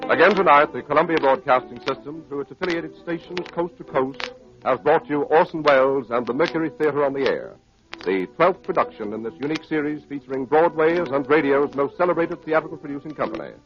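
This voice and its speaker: A man's voice: fairly high pitch, a little fast, a bit bright. An American man announcing in a formal 1930s network radio style. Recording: muffled old radio broadcast with music.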